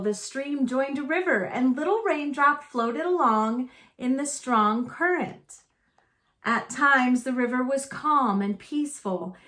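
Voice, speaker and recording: A woman with a fairly high voice reads a picture book aloud for young children. Her delivery is very unhurried and deliberate, with simple phrasing that suits a storytime narration. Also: bright, forceful tone.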